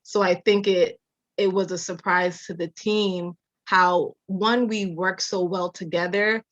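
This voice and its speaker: A woman in her thirties, from Jamaica by way of Massachusetts, talks through her life in an unhurried, reflective way and laughs often. Her voice sits a little low and comes across dry and slightly muffled, as on a lo-fi recording. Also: fairly even intonation, fairly bright tone, no echo. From Jamaica, raised in Massachusetts. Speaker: architecture designer, guest. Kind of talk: casual interview storytelling.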